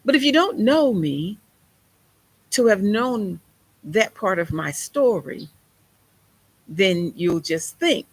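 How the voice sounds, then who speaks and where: Husky woman preaching emphatically. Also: mid-range voice, very animated, takes it slowly, pauses often, rough recording. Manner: emphatic, conversational preaching with folksy phrasing. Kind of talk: sermon.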